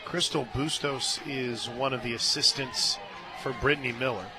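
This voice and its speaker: A man doing live radio play-by-play commentary of a softball game as the announcer. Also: mid-range voice, steady tone, moderate pace. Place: outdoor softball stadium radio booth. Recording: stadium crowd noise and music behind. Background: crowd and music.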